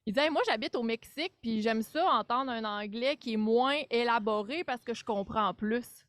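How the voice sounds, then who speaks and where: A woman with a Québécois accent, chatting casually as a podcast guest, animated and self-deprecating, full of fillers. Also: a little high, normal speed, no echo. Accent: Québécois French. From Quebec. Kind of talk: casual chat.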